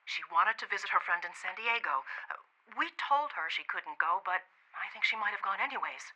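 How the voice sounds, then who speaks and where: A woman's voice: mid-range voice, animated, pushed and bright. An actress playing a frantic middle-aged American mother in an audio drama, stammering and pleading over an emergency phone call. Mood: anxious. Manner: halting, stammering, desperate pleading. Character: frantic, pleading mother of a missing teenage daughter. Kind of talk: immersive audio fiction drama acting.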